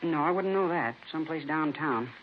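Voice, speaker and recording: A man's voice: a little high, moderate pace, lightly acted. An actor playing a cooperative, slangy seventeen-year-old boy being questioned, in casual 1950s radio drama style. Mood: casual, candid. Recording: muffled vintage radio recording, faint noise.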